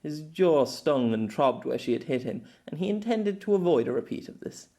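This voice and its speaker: An amateur audiobook reader giving an expressive narration of a scripted story. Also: medium pitch, moderate pace.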